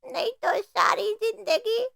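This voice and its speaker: A woman's voice: very high voice, lively intonation, slow pace, creaky, fairly bright tone, no room sound, studio quality, pitched above the performer's own voice, heavily acted. A woman voicing a callous, meddling female crow in a cartoon story, with a pushy, insinuating way of talking. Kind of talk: Hindi cartoon story voice acting.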